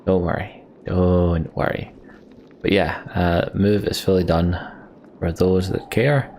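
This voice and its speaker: A man's voice: sits low, fairly even intonation, slow, a little mellow, slight background noise. A man getting over a cold, doing casual let's play commentary and reading game narration aloud.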